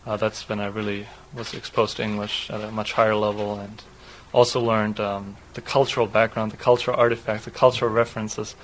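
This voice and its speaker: A man in his twenties from southwest Germany, a native German speaker with a German accent, speaking in a halting, explanatory way. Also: fairly low pitch, level tone, a little hiss or hum, rough recording. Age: 20s. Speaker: interviewee, doctoral student. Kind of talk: read passage, then unscripted personal account.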